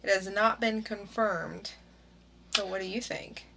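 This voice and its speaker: A woman's voice: medium pitch, steady tone, a little slow, gravelly, bright, forceful tone, a little hiss or hum, rough recording. A woman co-hosting a casual podcast, talking through news in a laid-back, dry way. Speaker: podcast co-host.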